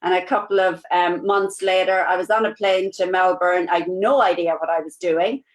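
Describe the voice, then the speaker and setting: A woman's voice: on the low side, steady tone, moderate pace, rough recording. A woman in her sixties with an Irish accent, telling her life story as a guest on a live video call, chatty and rambling with lots of "you know"s. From Ireland. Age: 60s. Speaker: interview guest, nurse. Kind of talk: casual life-story chat. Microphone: live-streamed video call.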